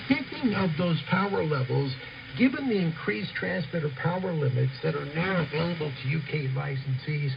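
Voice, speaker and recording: A man's voice: fairly high pitch, creaky. A man presenting ham radio news over shortwave, reading from a script with chatty asides in an enthusiastic, emphatic manner. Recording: noisy, muffled shortwave radio broadcast.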